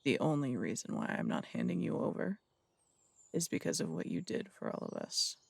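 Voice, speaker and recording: A man playing a gravelly, distrustful detective. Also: fairly high pitch, huge pitch swings, moderate pace, dry recording, full character voice. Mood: accusing. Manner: curt and suspicious, sharp needling questions. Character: blunt, distrustful male city detective. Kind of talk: audio roleplay drama acting.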